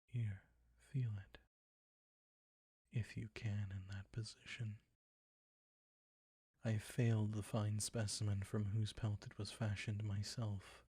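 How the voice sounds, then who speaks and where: Man playing a low, husky, possessive vampire count. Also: mellow, dry recording, clean studio sound. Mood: smug, coaxing menace. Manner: theatrical, formal, condescending endearments, often dropping to whispers. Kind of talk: intimate scripted roleplay audio, ASMR-like.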